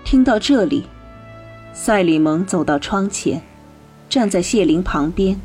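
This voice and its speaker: A woman narrating a radio drama in slow, measured storytelling. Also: medium pitch, darkish tone. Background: faint music.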